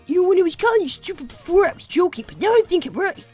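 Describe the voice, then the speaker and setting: Precocious five-year-old boy, played by a woman, piercingly high, pompous and dry, for a cartoon-style improvised gaming stream. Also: rapid-fire, faint music behind. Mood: mocking. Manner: pompous, deadpan, formal wording beyond his age. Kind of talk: improvised in-character gaming livestream.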